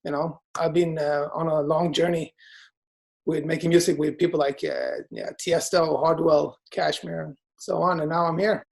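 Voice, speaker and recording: Swedish man, thirties, gravelly voice, relaxed interview chat, loose with fillers. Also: a little high, normal speed. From Sweden. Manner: relaxed, many fillers and restarts. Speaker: guest DJ and producer.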